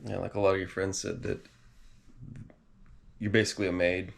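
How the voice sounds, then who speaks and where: American guy, very low voice with a lot of fry, dry jokey couple chat. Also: level tone, moderate pace, a little hiss or hum. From United States. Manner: dry, joking, explains carefully. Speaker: vlog co-host.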